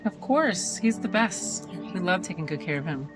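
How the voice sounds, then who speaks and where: An actress playing a friendly, teasing nurse in an audio drama. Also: female voice, lowish voice, expressive, normal speed, over music, lightly acted. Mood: affectionate. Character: friendly, teasing woman nurse fond of her patients.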